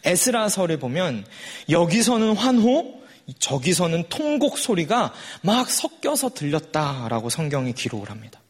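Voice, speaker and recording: A man's voice: on the high side, very animated, normal speed, breathy edge. A forty-year-old man preaching a sermon in a conversational way, putting questions to his listeners. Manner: conversational, puts rhetorical questions to the congregation.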